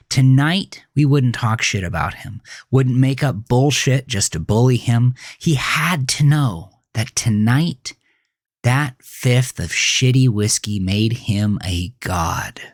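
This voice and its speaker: An actor playing a jaded man who looks back on his angry teenage years in a brooding, confessional horror monologue with halting pauses. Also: mid-range voice, expressive, dry recording, clean studio sound. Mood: wistful, bitter. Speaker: narrator of a horror audio drama. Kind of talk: first-person scripted horror narration, dramatic monologue. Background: music.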